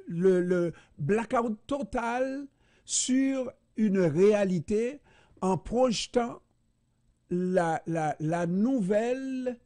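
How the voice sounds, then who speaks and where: A man from Haiti hosting a radio interview, speaking slowly and deliberately with frequent hesitations. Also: high voice, dark tone, no echo, clean studio sound. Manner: slow, deliberate, many hesitations. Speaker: radio host.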